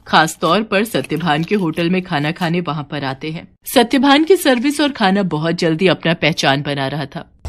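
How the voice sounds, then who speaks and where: A woman reading a scripted moral story as narrator, in an expressive storytelling manner. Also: on the low side.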